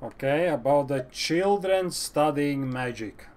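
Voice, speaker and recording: Slow, playful gamer reacting. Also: mid-range voice, animated, darkish tone, a little hiss or hum. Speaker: video game streamer. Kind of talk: casual gameplay reaction commentary.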